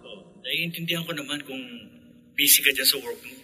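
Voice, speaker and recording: Worried Filipino partner, sharp, reverberant. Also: male voice, medium pitch, fairly even intonation, brisk, husky, heavily acted. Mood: concerned. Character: worried, caring partner of a migrant worker. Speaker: radio drama actor. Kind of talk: classic radio drama acting. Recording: reverberant, with guitar music behind.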